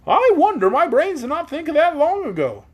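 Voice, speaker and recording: A storybook reader voicing a cheerful straw man who is proud of his brains, speaking high and lively. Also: moderate pace. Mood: eager.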